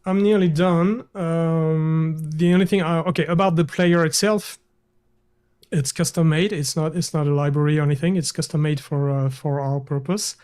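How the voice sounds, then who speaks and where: A man, a guest developer, walking through a screen-share software demo over a video call, hesitant with many fillers and restarts. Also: on the high side, steady tone, moderate pace, clean tone.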